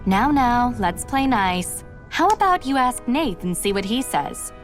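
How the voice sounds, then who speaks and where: A woman's voice: fairly high pitch, sing-song, unhurried, soft background music. An actress playing a cheery young resistance woman in a video game, teasing and coaxing in a friendly way. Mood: playful.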